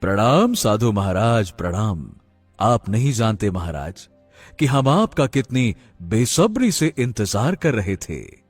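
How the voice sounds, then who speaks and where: A man playing a worried, solemn king in an animated moral story, grave, halting and respectful. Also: fairly low pitch, breathy edge, no echo, soft background music, exaggerated voice. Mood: reverent. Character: worried, solemn king burdened by a demon's threat.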